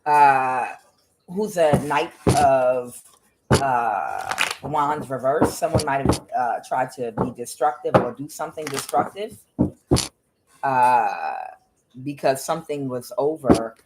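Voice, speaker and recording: A woman doing a tarot reading on her own. She talks bluntly and swears often, questions the cards aloud, and fills her pauses with "uh". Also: rumbling low, very noisy. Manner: blunt and profane, with frequent "uh" fillers. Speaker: tarot reader. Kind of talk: solo tarot reading to camera.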